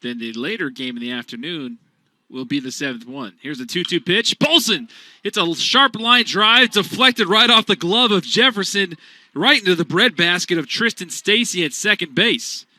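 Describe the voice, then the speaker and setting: A man calling a college baseball game as radio play-by-play announcer, steady between pitches and rising loud on big plays. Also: moderate pace, dry recording.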